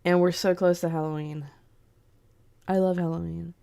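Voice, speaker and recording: A woman's voice: lowish voice, flat delivery, normal speed, creaky, dark tone, clear, resonant tone. A young woman hosting a casual livestream and greeting her chat by name. She sounds congested and sniffly from a cold and laughs often. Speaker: streamer host.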